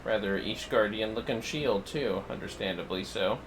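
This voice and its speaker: A man's voice: on the low side, normal speed, noisy background. A man giving casual, playful livestream commentary on a video game, muttering asides to himself.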